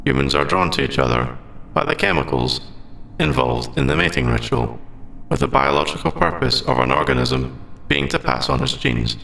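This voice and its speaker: Sentient AI , played by a man for ASMR roleplay: bright, crisp-edged tone, very wide pitch swings, formal and hesitant, echoing space. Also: slight background noise, heavily acted. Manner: halting, formal, clipped robotic phrasing. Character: lovestruck sentient AI, formal, conflicted, hesitant about forbidden feelings. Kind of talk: ASMR audio roleplay, scripted monologue.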